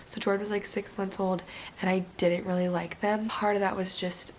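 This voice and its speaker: Young woman, casual vlog chat, candid and chatty, voice recovering from hoarseness, mid-pitched. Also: flat delivery, moderate pace, a little mellow, slight background noise. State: recovering from a lost voice. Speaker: vlogger and teacher.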